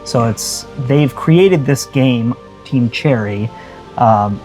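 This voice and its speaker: A man's voice: mid-range voice, slow, darkish tone, soft background music. A man co-hosting a game review show, speaking conversationally in an earnest, emphatic way.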